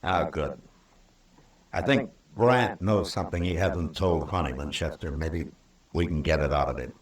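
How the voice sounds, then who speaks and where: A male actor playing a stern, fair-minded American frontier marshal in a 1950s radio western, speaking firmly and deliberately. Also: rumbling low. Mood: calm, firm. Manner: firm, deliberate, authoritative. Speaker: lead actor and narrator. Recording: old radio recording, roomy and distant.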